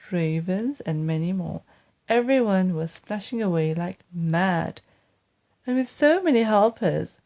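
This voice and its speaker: A woman reading a children's story aloud as an expressive narrator, with deliberate, dramatic phrasing. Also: mid-range voice. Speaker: storyteller, English teacher.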